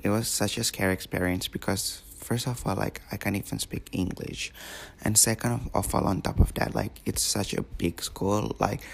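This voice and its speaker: A man's voice: fairly low pitch, creaky. A teenage male podcast host from Indonesia, talking casually and rambling with many fillers and repeated words. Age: teens.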